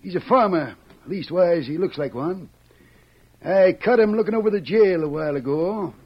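An actor playing a gruff, impatient small-town sheriff with folksy American frontier speech in an old-time radio western. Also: male voice, high-pitched, moderate pace. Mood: businesslike. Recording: dull, noisy vintage radio recording.

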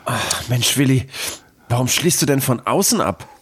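A man playing a whiny, easily frightened car dealer in a comic radio play, with a pleading manner and a husky voice. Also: mid-range voice, animated, normal speed, a bit bright. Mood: relieved, baffled. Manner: whining, pleading.

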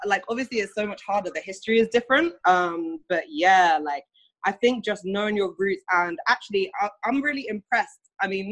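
A woman's voice: mid-range voice, a bit bright, poor audio. A young British woman talking in a casual conversation, chatty and full of fillers. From United Kingdom.

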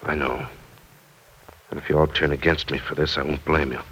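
An actor playing an earnest, guilt-ridden young man in an old-time radio drama, speaking haltingly and blaming himself. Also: very deep voice, flat delivery, fairly bright tone, slightly rough, heavily acted, poor audio. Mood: remorseful. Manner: earnest, halting, self-reproaching. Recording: vintage radio recording.

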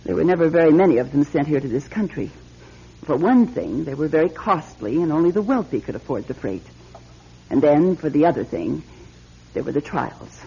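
An earnest, ominous older woman appraiser in an old radio drama. Her voice is heavily rasped and swings widely in pitch, with insistence. The sound is muffled and lo-fi. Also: expressive, brisk, warm tone, exaggerated voice. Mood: knowing, matter-of-fact. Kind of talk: classic radio drama acting. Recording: dull, lo-fi old radio recording.

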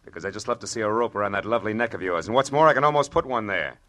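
An actor playing a tough, wisecracking private detective in a mid-century radio crime drama, slangy and sardonic. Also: male voice, fairly low pitch, rapid-fire, pushed and bright. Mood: menacing, needling. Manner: hard-boiled, sardonic, slangy.

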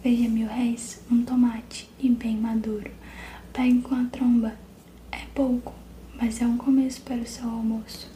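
A woman's voice: on the high side, level tone, moderate pace, dark tone, echoey room, a little hiss or hum, lightly acted. A woman whispering the lines of a worried young boy on the run in a storybook reading. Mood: earnest.

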